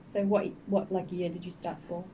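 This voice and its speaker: A young woman hosting a podcast, asking quick, playful questions in casual chat. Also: on the low side, little pitch movement. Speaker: podcast host. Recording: distant mic with faint noise.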